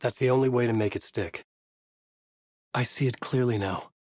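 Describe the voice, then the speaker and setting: An actor playing a tormented thriller writer trapped in a horror story in a video game, with a grave, introspective, intense delivery and a husky voice. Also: male voice, medium pitch, moderate pace, darkish tone, no room sound, studio quality, close to an everyday voice. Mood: urgent, troubled. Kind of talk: brooding dramatic game dialogue and noir monologue.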